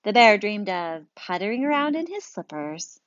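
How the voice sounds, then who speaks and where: A woman reads a picture book aloud like a teacher, pausing to ask questions about the pictures. Her pitch moves a lot as she reads. The recording is dry and a little muffled. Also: dry recording. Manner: lively, engaging, asks questions. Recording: dry, dull lo-fi sound.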